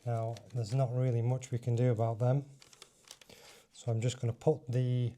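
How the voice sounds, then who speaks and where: A man's voice: mid-range voice, level tone, moderate pace, dark tone. A man giving a casual hands-on demonstration, thinking aloud informally as he works through each step. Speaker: motovlogger presenting a fitting tutorial.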